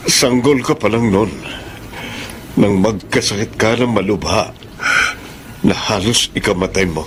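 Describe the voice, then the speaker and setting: An actor playing a remorseful, middle-aged ex-convict father in a radio drama, speaking with a Filipino accent in an earnest, halting, pleading way. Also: fairly low pitch, a little hiss or hum, lightly acted. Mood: remorseful, confessing.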